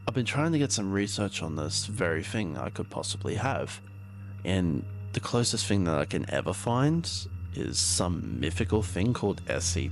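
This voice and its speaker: Guy acting as a paranoid monster hunter guy, low croaky voice, rambling taped diary for an audio drama, uneasy. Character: haunted, paranoid male conspiracy theorist documenting monsters.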